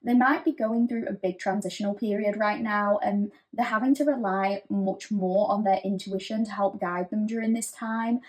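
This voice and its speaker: A woman giving a pick-a-card tarot reading in a gentle, reassuring, chatty way, with frequent fillers. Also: medium pitch, steady tone, moderate pace, hardly any pauses, poor audio. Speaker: tarot reader.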